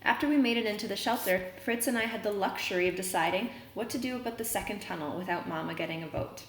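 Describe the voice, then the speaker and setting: A woman reading a children's novel aloud, pausing to explain words as a teacher would. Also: mid-range voice, a bit bright. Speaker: read-aloud reader and teacher. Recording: roomy echo, noticeable background noise.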